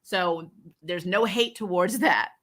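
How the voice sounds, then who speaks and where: A woman's voice: on the low side, takes it slowly, fairly bright tone. A woman hosting a casual fan livestream, giving candid, emphatic opinions in slangy, chatty commentary. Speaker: host of a fan recap livestream.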